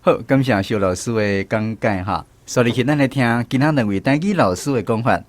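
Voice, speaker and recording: A man's voice: medium pitch, fairly quick, dry recording. Taiwanese male radio host, dry studio sound. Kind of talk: radio language segment presenting. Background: music bed.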